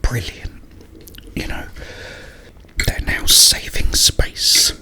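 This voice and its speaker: Guy doing whispered asmr ramble, really slow, stops and starts, breathy. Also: pushed and bright. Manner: halting, pausing between short phrases. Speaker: ASMR creator and host. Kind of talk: whispered ASMR ramble about a video game.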